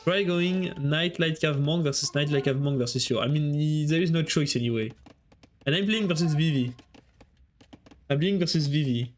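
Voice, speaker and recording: A man's voice: on the high side, normal speed, slight background noise. A man with a French accent casting a strategy game on a live stream, chatting with viewers between plays. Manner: chatty, answers chat while casting.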